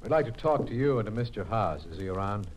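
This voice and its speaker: A man playing a brisk, direct, plain-spoken federal agent in an old radio crime drama. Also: fairly low pitch, lively intonation. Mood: firm, official.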